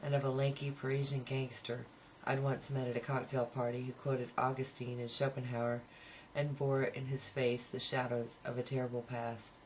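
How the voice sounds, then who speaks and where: A man's voice: medium pitch, level tone, moderate pace, poor audio. A man reading a novel aloud as an amateur, now and then tripping on a word and restarting, on a home recording. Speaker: amateur audiobook reader. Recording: home recording with faint background noise.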